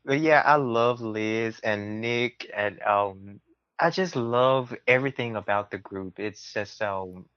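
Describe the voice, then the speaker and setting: A man's voice: on the low side, takes it slowly, fairly bright tone, dry recording. A man talking casually and earnestly as a podcast guest, effusive and heartfelt. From southern United States. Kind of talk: casual heartfelt interview talk. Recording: muffled, band-limited audio.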